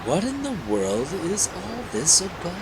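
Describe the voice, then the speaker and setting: A man's voice: high-pitched, wildly swooping pitch, unhurried, exaggerated voice. A man voicing a laid-back, questioning adventurer hero in a comic book dramatic reading. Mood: puzzled. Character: laid-back shirtless adventurer hero, puzzled then curious. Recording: clear background noise.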